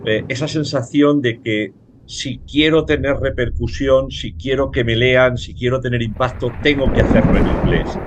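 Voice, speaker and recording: A Spanish professor with a Castilian accent speaks courteously and carefully, hesitating on fillers. His pitch sits in the middle. Steady background noise is clearly heard on the call. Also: normal speed. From Spain. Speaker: guest professor. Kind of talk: relaxed academic conversation.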